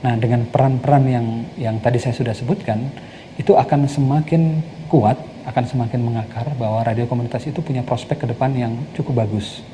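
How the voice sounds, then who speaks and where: A man's voice: medium pitch, fairly quick, warm tone, low-quality recording. A man explaining things in an interview as an advocate. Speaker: community radio advocate being interviewed. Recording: noticeable background noise.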